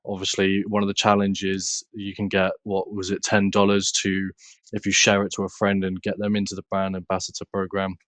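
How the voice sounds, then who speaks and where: Low-voiced British man, casual podcast guest. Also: monotone, a little fast, a bit bright, no echo. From United Kingdom. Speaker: podcast guest, content creator. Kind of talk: casual interview chat.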